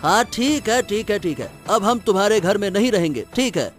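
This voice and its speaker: Man acting a high-voiced, wary cartoon husband. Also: sing-song, moderate pace, faint music behind. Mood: uneasy. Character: wary, compliant husband in a scheming couple. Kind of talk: Hindi cartoon story voice acting.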